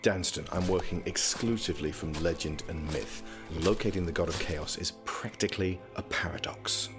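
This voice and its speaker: A man acting in an audio drama as a young scholarly prince, speaking with dry, measured, formal wit. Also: low-pitched, music in the background, close to an everyday voice. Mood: guarded.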